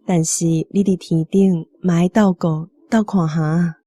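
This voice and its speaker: An actress playing a solemn, tender woman praying to the dead in a radio drama, earnest and measured, with a Taiwanese accent. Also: on the low side, fairly even intonation, warm tone, natural voice.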